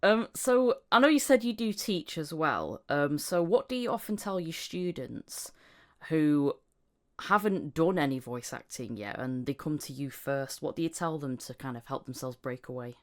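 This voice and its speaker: A woman's voice: low-pitched, expressive, normal speed. A woman hosting a podcast, asking questions in a casual, conversational interview style.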